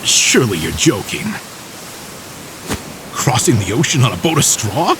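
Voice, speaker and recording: An actor playing an excitable, irreverent male fugitive sidekick in a fantasy video game, with expressive, colloquial delivery. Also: mid-range voice, unhurried, background noise. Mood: sardonic, incredulous. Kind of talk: dramatic video game voice acting.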